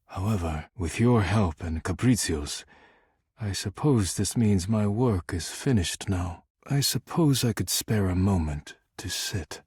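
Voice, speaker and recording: Stern workaholic gentleman, video game character, deep register, heavily breathy and husky, formal measured diction. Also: animated, no room sound, studio quality, heavily acted. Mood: formal, reserved. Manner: formal, measured, stiff diction without contractions. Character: stern, formal, work-obsessed man, devoted to porcelain.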